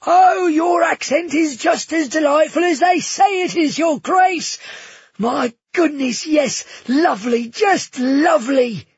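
A man voicing a giddy, fawning old male tavern keeper with a husky voice, in a storybook reading. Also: extremely high pitch, takes it slowly, dry recording, lo-fi sound, voice pushed up. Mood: gushing. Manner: effusive, gushing, exclamatory.

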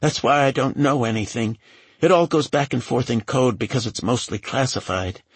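A man acts out a scared woman assistant in a thriller audiobook. She pleads and hesitates defensively, her voice pushed high and husky. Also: moderate pace, dry recording. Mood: nervous, defensive. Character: frightened, defensive woman, a boss's personal assistant.

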